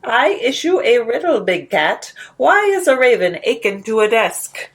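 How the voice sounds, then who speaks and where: Woman doing a whimsical male storybook riddler, high-pitched, pompous, grand, declamatory. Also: big pitch swings, measured pace. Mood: grand, riddling.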